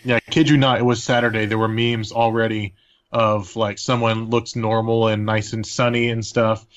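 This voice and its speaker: A man's voice: fairly low pitch, little pitch movement, moderate pace, highs cut off. A man from Texas chatting casually on a podcast in a playful, joking manner. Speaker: podcast panelist. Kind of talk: casual chat.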